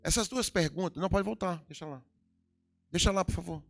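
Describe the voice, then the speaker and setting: A man's voice: high-pitched, brisk, vocal fry, fairly bright tone, soft background music. A middle-aged Brazilian man with a Brazilian Portuguese accent, preaching a sermon in a church with emphatic swells and sudden drops. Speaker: pastor. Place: church hall.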